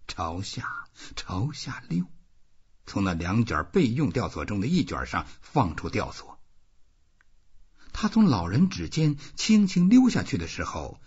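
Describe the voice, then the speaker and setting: A man with a husky voice reading literary fiction as an audiobook, with dramatic, emphatic phrasing. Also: on the low side, a little mellow, dull audio. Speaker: audiobook narrator. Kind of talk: expressive scripted literary narration.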